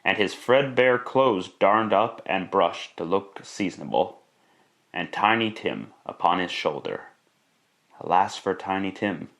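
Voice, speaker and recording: A man's voice: low-pitched, a bit bright, exaggerated voice. A man narrating a classic radio drama in a theatrical, emphatic storytelling style.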